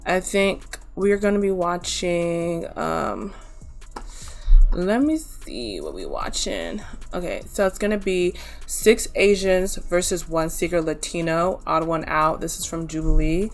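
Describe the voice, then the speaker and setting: A woman from Nigeria hosting a reaction video, chatting casually and filling her talk with quick asides. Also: on the low side, animated, soft background music.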